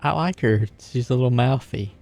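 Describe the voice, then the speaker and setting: A man's voice: mid-range voice, normal speed, a little mellow, soft background music. A man casually improvising in character as a player in a tabletop roleplaying game, with a joking style.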